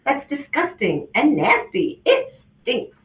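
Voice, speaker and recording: A woman voicing a mother who exclaims in a high, shrill voice, heard on a tinny, echoey recording from a distance. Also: wildly swooping pitch, slow, full character voice. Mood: disgusted. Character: excited then disgusted young mother.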